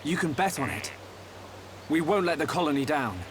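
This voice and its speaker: A man's voice: fairly high pitch, lively intonation, moderate pace, noisy background, lightly acted. A man playing an earnest, determined young hero in a game, speaking politely with a British accent.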